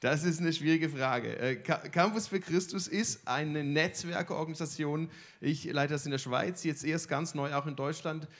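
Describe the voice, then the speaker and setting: A man from Switzerland with a Swiss accent, a guest speaker chatting in a jokey, teasing, halting way. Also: on the high side, a bit bright. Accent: Swiss German. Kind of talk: casual joking stage interview.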